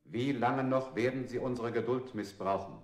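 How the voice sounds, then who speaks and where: A man's voice: medium pitch, normal speed, a little mellow, natural voice. Stern, echoey actor as male interrogator. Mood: stern, impatient. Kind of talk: avant-garde 1960s German radio play. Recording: echoey room sound.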